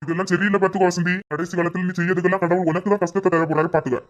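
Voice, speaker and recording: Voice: mid-range voice, little pitch movement, very fast, lo-fi sound, lightly acted. A performer playing a caring father who soothes his daughter and reasons with his wife, in speech. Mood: reproaching. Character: gentle caring father, adult man.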